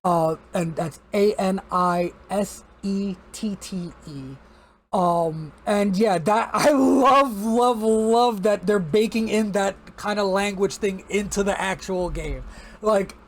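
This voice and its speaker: A man's voice: high-pitched, takes it slowly. A man co-hosting a casual chat stream, talking enthusiastically and repeating words for emphasis. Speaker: co-host of a gaming community stream.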